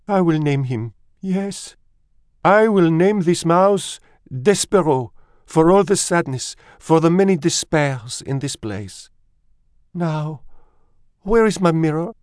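Man putting on a shrill, melodramatic French mother mouse. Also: expressive, takes it slowly, a little mellow, clear, resonant tone, no echo, studio quality. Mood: mournful, grand. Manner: theatrical, sighing, self-pitying.

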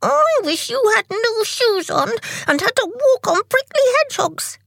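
A woman voicing an excitable, whiny, pleading young brother in a children's storybook. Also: extremely high pitch, measured pace, no room sound, studio quality, pitched above the performer's own voice, full character voice. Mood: angry.

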